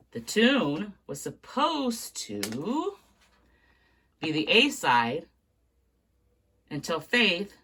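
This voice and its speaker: Expressive woman reading a book aloud. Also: on the low side, slightly rough. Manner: expressive read-aloud, carries on through interruptions. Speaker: book reader.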